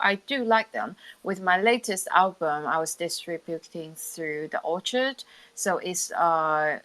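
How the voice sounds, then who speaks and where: Bright-voiced woman chatting casually, lower register. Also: poor audio. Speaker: music artist and co-host. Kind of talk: casual group audio chat.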